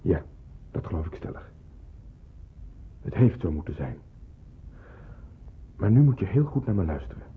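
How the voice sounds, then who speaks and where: Grave, husky resistance man, lo-fi. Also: deep voice, big pitch swings, full character voice. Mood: grave, relieved. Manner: deliberate, instructing. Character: grave, careful man secretly arranging wartime hiding. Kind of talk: Dutch radio play acting. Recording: muffled, phone-like old radio recording.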